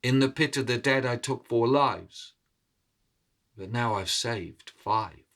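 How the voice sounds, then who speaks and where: A man playing a weary, proud old thief who recounts his deeds in a solemn, deliberate, declamatory way. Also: mid-range voice, a bit bright. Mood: solemn, resigned. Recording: cello and violin music behind.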